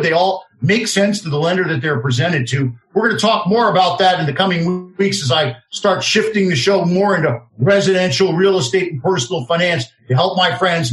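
A man's voice: high-pitched, a bit bright. A brash, self-assured man in his fifties hosting an interview show, cutting in with questions. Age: 50s. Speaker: show host. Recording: roomy echo.

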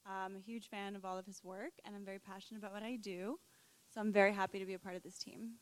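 A young woman from Colombia, a real estate broker, pitching her project with eager sales enthusiasm. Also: flat delivery, fast, rough recording. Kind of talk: interview guest, sales pitch.

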